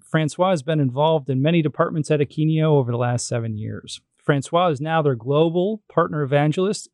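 Casual podcast host full of fillers. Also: male voice, fairly high pitch, no echo, studio quality. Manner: casual, many fillers like "you know". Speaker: guest host.

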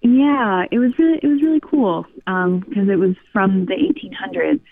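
A woman's voice: medium pitch, animated, normal speed. A woman caller telling a story casually over a phone line. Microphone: phone call. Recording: narrow tinny phone line.

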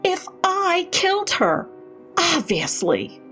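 A woman's voice: very high voice, huge pitch swings, slow, sharp tone, faint music behind, full character voice. A woman playing a defensive, rattled professional caregiver in an audio drama, earnest and emphatic. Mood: rattled, explaining. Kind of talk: naturalistic audio drama acting.

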